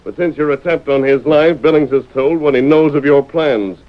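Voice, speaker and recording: An actor playing a righteous masked lawman in an old radio adventure, crisp and authoritative with an American accent. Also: fairly high pitch, moderate pace, lightly acted. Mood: explaining firmly. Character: masked frontier lawman hero, commanding, calm and righteous. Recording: muffled old radio broadcast.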